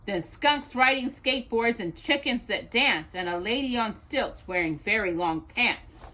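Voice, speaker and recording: A woman reading rhyming children's poems aloud as a storytime host, in an expressive sing-song way, as if to a child. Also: on the high side, a little slow, a little hiss or hum.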